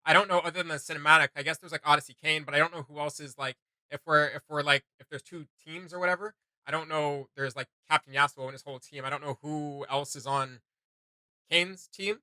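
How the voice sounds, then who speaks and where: A man hosting a video game reaction show, chatting casually in a rambling, excitable, slangy way. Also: on the high side, brisk, smooth, no room sound.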